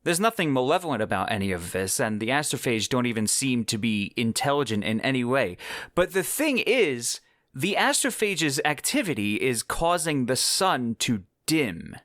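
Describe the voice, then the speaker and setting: Male podcast host, mid-pitched voice, dry close mic, casual explanatory tone. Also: very animated, normal speed, a bit bright, no room sound. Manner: explanatory, casual with fillers like "whatnot" and "kind of". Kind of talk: solo book review.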